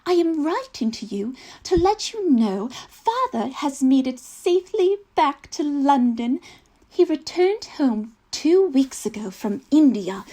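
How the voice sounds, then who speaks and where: Prim young Victorian gentlewoman, played by an actress, naive and fashion-proud; high, animated voice, genteel comic stage monologue. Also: full character voice. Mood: prim, earnest. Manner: mannered, genteel, archly earnest. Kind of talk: theatrical comic monologue, reading a letter aloud.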